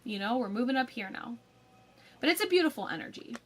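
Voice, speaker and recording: A young woman giving a casual tarot forecast, a little raspy from a cold, chatty with frequent ums and likes. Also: on the high side, animated, fast talker. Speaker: tarot reader.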